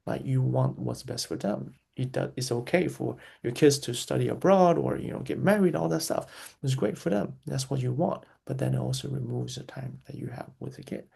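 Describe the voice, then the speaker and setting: A man's voice: mid-range voice, moderate pace, gravelly, hardly any pauses, mellow, breathy edge. A man speaking as an interview guest, giving earnest, reflective answers, repeating key phrases and often restarting his sentences.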